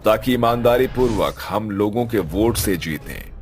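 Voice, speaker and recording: A man's voice: fairly low pitch, animated, moderate pace, darkish tone, lightly acted. A man voicing an earnest ghost politician making speeches in a cartoon story. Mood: firm, explaining. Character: earnest male ghost politician pleading for votes. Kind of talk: Hindi cartoon story dialogue.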